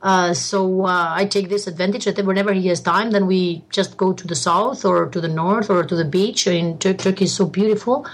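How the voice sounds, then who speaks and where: A woman's voice: lowish voice, steady tone, moderate pace, few pauses. A woman from Albania, a guest in a casual interview, who laughs a lot and often restarts her sentences.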